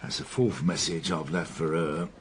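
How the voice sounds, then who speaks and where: Commanding senior detective, background noise. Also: male voice, rumbling low, steady tone, normal speed. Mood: determined, exasperated. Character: determined, dry-witted senior male police detective. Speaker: actor in a radio drama. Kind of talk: naturalistic radio drama acting.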